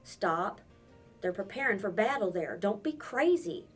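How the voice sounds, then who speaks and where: A woman reading a storybook and voicing a gruff but caring countrywoman, blunt and commanding. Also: mid-range voice, sing-song, unhurried, recorded from a distance, soft background music. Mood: firm. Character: gruff, caring, practical older backwoods woman.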